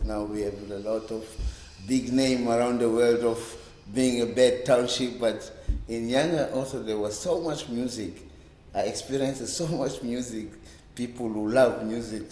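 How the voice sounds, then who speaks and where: A man's voice: medium pitch, darkish tone. South African man in his fifties, echoey room. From South Africa, Cape Town township. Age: 50s. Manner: halting, repeats words, rambling recollection. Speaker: interview guest, reggae bass player. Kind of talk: casual oral-history interview. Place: indoors in a library room. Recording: roomy, echoey indoor sound.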